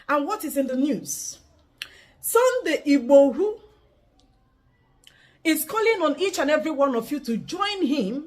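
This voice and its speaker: A woman with a Nigerian accent presenting news to her viewers in a preachy tone, slipping into Pidgin at times. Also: sits high. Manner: preachy greeting, slips into Pidgin. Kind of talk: news-style address to viewers.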